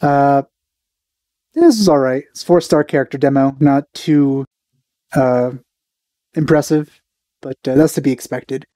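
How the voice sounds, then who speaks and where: A man in his thirties giving casual, low-key reaction commentary as a video host, close on the mic. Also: a little slow, long pauses, warm tone, dry recording. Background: faint music.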